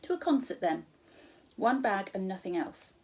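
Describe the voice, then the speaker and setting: Storybook guardian, read by a woman: kindly, whimsical gentleman, unruffled, quaint and reassuring. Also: mid-range voice, very animated, moderate pace. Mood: calm, cheerful. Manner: reassuring, quaint turns of phrase. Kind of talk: children's storybook read aloud.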